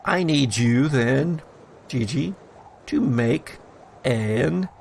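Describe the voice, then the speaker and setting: A man acting as game master, deliberately narrating scenes and explaining rules for a tabletop roleplaying game. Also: mid-range voice, expressive, vocal fry, a little hiss or hum.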